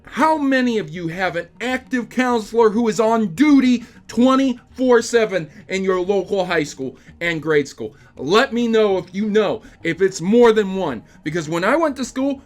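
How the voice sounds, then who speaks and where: A man's voice: high-pitched, animated, a little slow, few pauses. A young man hosting video game news, delivering opinionated commentary in an emphatic, sarcastic, ranting manner.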